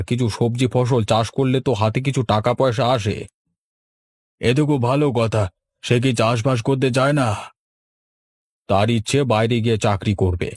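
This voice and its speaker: A man's voice: mid-range voice, darkish tone, no room sound, lightly acted. Earnest village uncle, dry close sound male actor. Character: earnest village uncles, middle-aged, advising and fretting. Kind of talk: Bengali cartoon dubbing.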